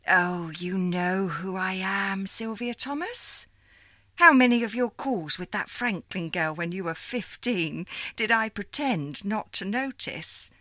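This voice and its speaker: A woman's voice: mid-range voice, lively intonation, takes it slowly, fairly bright tone, no room sound. A woman voicing a chatty older female ghost who once ran the switchboard, coaxing and familiar. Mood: knowing. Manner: coaxing, familiar, calls her dear.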